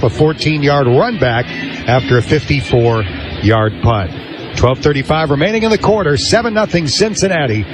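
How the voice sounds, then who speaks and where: An American man calling football play-by-play on radio in clipped, descriptive phrases. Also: medium pitch. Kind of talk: live American football commentary. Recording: clearly noisy live stadium broadcast.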